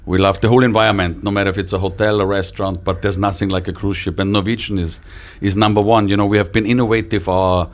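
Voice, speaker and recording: A man's voice: lowish voice, level tone, quick pace. A middle-aged or older man from Austria, a native German speaker with an Austrian accent, answering interview questions as an executive. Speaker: interview guest, cruise line executive.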